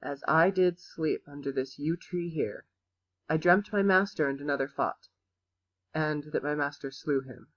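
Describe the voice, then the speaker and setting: Man playing fearful young servant, fairly high voice, dull muffled recording, Shakespearean drama. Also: unhurried, fairly bright tone. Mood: uneasy. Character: fearful young manservant recounting a dream. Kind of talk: theatrical Shakespearean audiobook acting.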